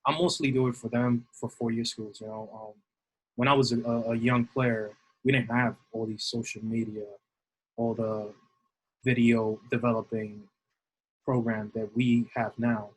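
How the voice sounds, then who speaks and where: A man's voice: mid-range voice, level tone, unhurried, husky, poor audio. A middle-aged man from New City, answering interview questions in a rambling way with many fillers. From New York City. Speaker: guest, assistant baseball coach.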